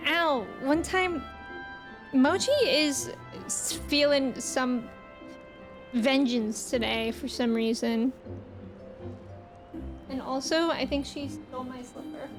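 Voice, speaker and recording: A woman with a high voice streams a game in a playful, expressive manner. She talks casually and reads aloud, with music clearly playing behind her. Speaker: live streamer. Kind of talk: casual chat and game reactions, reading game text aloud.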